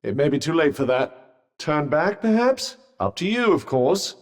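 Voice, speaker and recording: A wry talking magic bracelet, game companion, dry posh wit, lively intonation, echoing reverb. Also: male voice, on the high side, measured pace. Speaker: companion character. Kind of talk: game voice lines.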